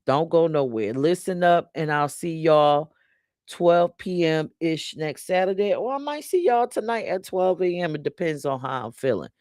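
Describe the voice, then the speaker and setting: A man's voice: fairly high pitch, a little mellow, clear, resonant tone, dry recording, clean studio sound. A man hosting a live talk show, speaking in a casual, chatty sign-off style.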